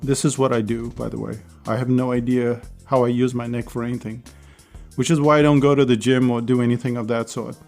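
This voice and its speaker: A man hosting a casual solo podcast, chatty and persuasive, with his voice hoarse and going. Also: mid-range voice, little pitch movement, brisk, darkish tone, soft background music. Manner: chatty, persuasive, with small laughs. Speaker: podcast host.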